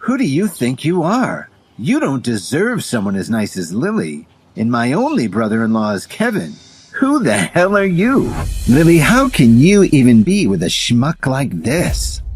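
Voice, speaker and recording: A man's voice: medium pitch, huge pitch swings, a little slow, slightly rough, exaggerated voice. A man voicing a protective, outspoken young woman, a loyal best friend, scolding indignantly in an audio drama. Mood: outraged.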